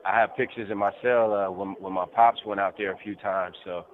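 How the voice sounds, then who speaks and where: Low-voiced man on a tinny phone line. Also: fairly even intonation, a little fast, slight background noise. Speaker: call-in guest from prison. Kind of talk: casual phone chat. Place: prison, on a phone call.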